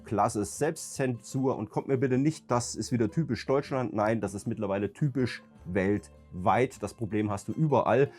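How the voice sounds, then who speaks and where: A man with a cold, hoarse and raspy, ranting emphatically as a YouTube host talking casually to his viewers. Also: medium pitch, darkish tone. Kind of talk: casual vlog talk to viewers.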